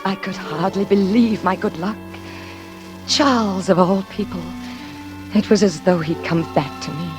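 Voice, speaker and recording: A woman's voice: mid-range voice, a little slow, lightly acted. An actress playing a genteel, nervous, lonely woman with wistful eagerness in a 1940s radio suspense drama. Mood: wistful, confiding. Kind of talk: 1940s radio suspense acting with confiding narration. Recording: vintage radio drama with music behind.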